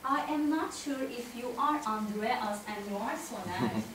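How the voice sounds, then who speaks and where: A woman in her thirties with a Turkish accent giving an expressive, prepared storytelling speech to listeners. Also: a little high, unhurried, recorded from a distance. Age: 30s. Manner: expressive, addresses listeners directly. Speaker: club speaker, tango dancer. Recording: echoey room from afar, faint noise.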